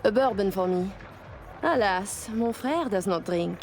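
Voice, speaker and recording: Game role: flirtatious French high-society gambler, haughty and sharp-tongued, loud score underneath. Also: female voice, expressive, a little slow, faint music behind. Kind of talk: video game cutscene acting.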